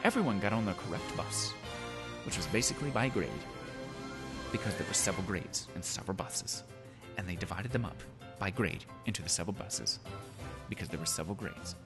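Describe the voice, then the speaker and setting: A man's voice: low voice, expressive, a little fast, long pauses, over music. A man narrating an amateur comedic story in a mock-dramatic manner.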